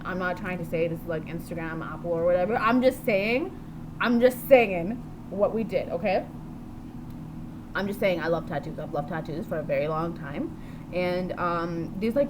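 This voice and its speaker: A woman talking in an unscripted video diary, rambling and jumping from topic to topic, blunt and quick to swear. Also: lowish voice. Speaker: vlogger. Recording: steady background noise with passing vehicles.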